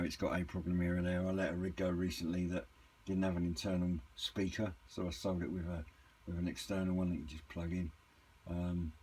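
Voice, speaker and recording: A man giving a casual, unscripted product walkthrough in a hesitant, rambling, matter-of-fact way, with a slightly husky voice. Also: deep voice, darkish tone. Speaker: hobbyist reviewer and seller of used CB radios.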